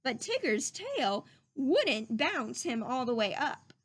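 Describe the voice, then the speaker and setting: A woman reads a children's book aloud with a high voice and an unhurried pace. Her delivery is animated and emphatic, as a teacher would read to young listeners. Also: breathy edge.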